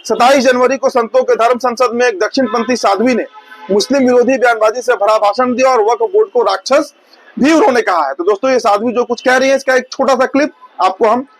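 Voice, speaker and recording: A man's voice: very high voice, brisk, a little hiss or hum, rough recording. A man presenting news in an informal, explanatory way, talking straight to viewers and often rephrasing his points.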